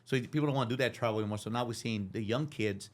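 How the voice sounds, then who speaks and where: A middle-aged man from Dallas, a podcast guest talking casually, with lots of restarts. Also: mid-range voice. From Dallas, Texas. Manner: fast, repetitive restarts, animated. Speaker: interview guest. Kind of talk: casual conversation.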